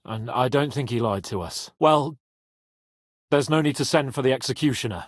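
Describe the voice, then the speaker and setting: An actor playing a proud, hot-headed young nobleman in a video game, with a theatrical, sharp-tongued manner. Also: mid-range voice, moderate pace, no room sound, studio quality, natural voice. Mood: earnest, explaining. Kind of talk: dramatic video game voice acting.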